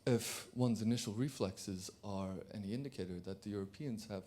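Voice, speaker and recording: A man's voice: fairly low pitch, fairly quick, dark tone. A man from Turkey speaking from the floor at a conference, hesitant, with many fillers and restarts. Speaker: audience member.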